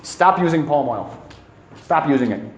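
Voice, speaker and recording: Higher-voiced man lecturing in an echoey room. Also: expressive, unhurried, dull audio. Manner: emphatic, repeats key phrases. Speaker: presenter giving a climate talk. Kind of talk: informal educational lecture to a live audience.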